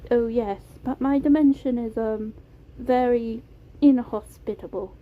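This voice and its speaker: A woman voicing a shy, polite, gentle male animatronic with a soft British accent, in an amateur story reading. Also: a little high, unhurried, pitched above the performer's own voice, close to an everyday voice. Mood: gentle, eager. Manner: soft-spoken, polite, hesitant. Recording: tinny phone-like audio, clear noise.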